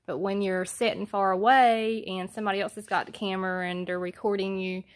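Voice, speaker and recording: Soft-spoken Southern woman, hesitant. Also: flat delivery, a little fast. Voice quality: soft-spoken, talks low. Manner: hesitant, many ums, plain. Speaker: homestead vlogger. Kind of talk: casual how-to vlog. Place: at home, alone with a phone camera.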